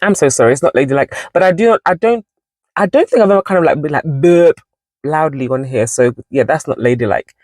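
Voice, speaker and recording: A woman's voice: sits low, lively intonation, a little fast, darkish tone, dry recording. A woman in her forties hosting a casual devotional talk, rambling and correcting herself as she goes. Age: 40s. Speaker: Bible study channel host.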